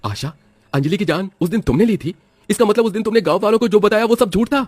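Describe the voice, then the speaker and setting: Man as a protesting husband, quick speech. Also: lowish voice, big pitch swings, breathy edge. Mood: shocked. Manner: protesting, questioning. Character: placating village husband and father, shocked by his wife. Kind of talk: animated horror story dialogue.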